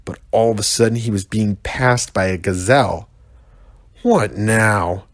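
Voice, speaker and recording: An American man, a pastor, reading a children's story aloud with expressive narration. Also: lowish voice, normal speed, no echo.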